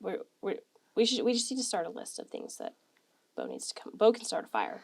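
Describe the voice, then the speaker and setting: A woman's voice: mid-range voice, huge pitch swings, a little fast, creaky, husky. A young woman co-hosting a podcast, teasing her friend and explaining things matter-of-factly in casual chat. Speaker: podcast co-host.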